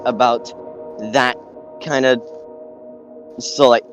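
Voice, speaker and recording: A man chatting casually as a podcast panelist in a hesitant, halting way. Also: mid-range voice, flat delivery, dull audio, over music. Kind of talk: casual chat.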